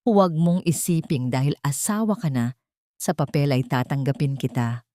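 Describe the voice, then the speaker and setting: A woman voicing a haughty older mother-in-law, a rich matriarch with a sharp, sarcastic and commanding manner. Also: low voice, moderate pace, no echo, studio quality, close to an everyday voice. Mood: sarcastic contempt.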